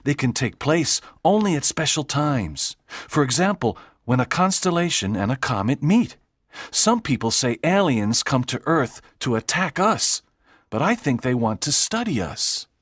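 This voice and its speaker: Male actor as eager teenage boy, earnest, animated pitch, dry studio sound, audiobook dialogue. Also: measured pace, dry recording. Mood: excited.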